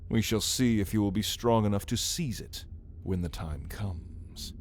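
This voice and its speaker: A man's voice: fairly low pitch, a little slow, lightly acted. A man playing a brooding, menacing caged vampire in an ASMR roleplay, speaking in a theatrical, taunting way with archaic wording. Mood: sly, persuasive. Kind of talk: ASMR roleplay, dramatic monologue to listener.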